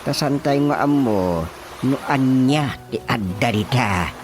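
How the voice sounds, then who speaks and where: Animated, bossy Filipino village chairman. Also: mid-range voice, takes it slowly, background noise. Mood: fussy, instructing. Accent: Filipino, Ilocano. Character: village chairman and folk healer, male, bossy, fussy. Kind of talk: Ilocano radio comedy drama acting.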